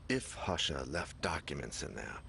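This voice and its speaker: An actor playing a weary, troubled man investigating a horror mystery in a video game, speaking gravely. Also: rumbling low, sing-song, moderate pace, a little hiss or hum, full character voice. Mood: curious. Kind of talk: dramatic video game narration and dialogue. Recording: loud music behind the voice.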